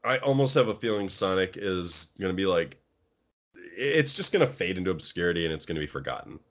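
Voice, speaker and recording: Young male co-host, casual and opinionated, animated delivery, dull lo-fi recording. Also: deep voice, fast. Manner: animated, opinionated, many fillers. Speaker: podcast co-host. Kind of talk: casual chat. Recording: dull lo-fi sound.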